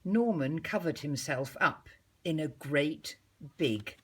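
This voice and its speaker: A woman reads a children's story with very lively, swooping intonation. Her voice sits a little low and has a husky grain. She takes her time, pausing deliberately like a teacher reading to a class of little ones. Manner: measured, animated storytelling with deliberate pauses.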